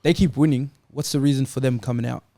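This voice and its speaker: A man's voice: medium pitch, fairly even intonation, fast, vocal fry, dark tone, no room sound, clean studio sound. A man talking casually and argumentatively as a panelist on a football show. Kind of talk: casual debate.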